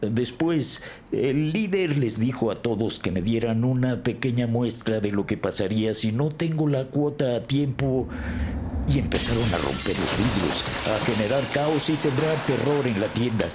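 An actor playing an indignant, shaken deli owner giving an emphatic account as a crime victim in an audio drama. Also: male voice, mid-range voice, animated, normal speed, background noise, natural voice. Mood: agitated. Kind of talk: scripted audio drama dialogue.

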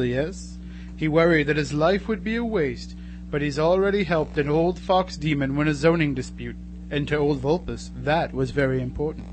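An actor playing a sardonic, gleefully macabre narrator and announcer in old-time radio horror style, theatrical and wry. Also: male voice, a little high, background noise, lightly acted.

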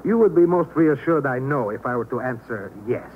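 Male actor as polite saboteur, mid-range voice, precise diction, quiet menace, 1950s radio drama, muffled sound. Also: mid-range voice, lively intonation, brisk, natural voice. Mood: cool, controlled threat. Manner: courteous, formal, precise, coolly threatening. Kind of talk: 1950s radio thriller acting. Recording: dull, noisy old radio recording.

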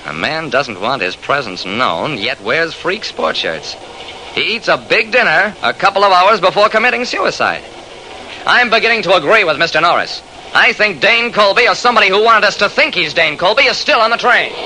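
A railroad detective in an old radio mystery, narrating with clipped, emphatic delivery. The voice is piercingly bright and very lively in pitch, on a faintly noisy vintage recording. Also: male voice, normal speed, heavily acted. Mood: probing, brisk. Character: brisk, methodical male railroad detective narrating his case. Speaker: narrator and lead investigator.

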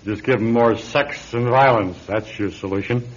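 A man's voice: on the low side, moderate pace, lightly acted. An actor playing a drunken, resentful married man who talks in a slurred, sarcastic, goading way in a radio drama. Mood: bitter. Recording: dull lo-fi radio recording.

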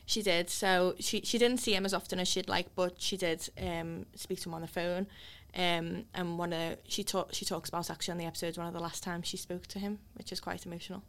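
A woman's voice: fairly low pitch, fairly even intonation, fairly quick, fairly bright tone. A woman co-hosting a podcast, explaining casually with fillers and asking the guest friendly questions. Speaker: podcast co-host. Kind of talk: podcast chat and interview. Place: small office studio.

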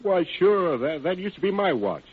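An actor playing a forthcoming, casual young soldier, open and plain-spoken, in an old radio drama. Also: male voice, sits high, very animated, normal speed, slightly rough, heavily acted. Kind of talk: 1940s radio drama acting. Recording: tinny, hissy old radio broadcast.

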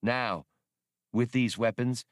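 A man's voice: mid-range voice, fairly even intonation, slow, dry recording, clean studio sound, lightly acted. A man voicing a solemn alien creature, a rebel leader who speaks in plain, earnest, formal phrases for an audiobook. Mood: earnest, resolute. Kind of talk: audiobook character voice.

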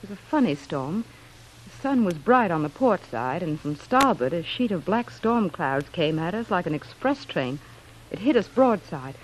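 A woman's voice: fairly low pitch, very animated, normal speed. An actress playing a plucky shipwrecked wife in a vintage radio drama, telling her tale earnestly. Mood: earnest storytelling. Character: plucky shipwrecked young wife spinning a cover story. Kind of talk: 1940s radio acting. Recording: noisy vintage radio recording.

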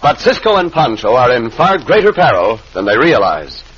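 A man's voice: mid-range voice, sing-song, moderate pace, over music. A man narrating an old-time radio serial as its announcer, in a dramatic scripted style. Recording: muffled vintage radio recording.

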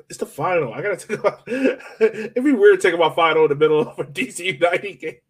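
Higher-voiced young man, casual podcast host. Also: fairly quick, husky. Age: young adult. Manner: informal, slangy. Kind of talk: casual sports podcast chat.

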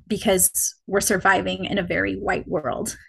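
Young female guest, reflective personal storytelling, middle-pitched everyday voice. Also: level tone, moderate pace, gravelly, slightly rough, rough recording. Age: young adult. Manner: reflective, many likes and restarts. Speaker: podcast guest.

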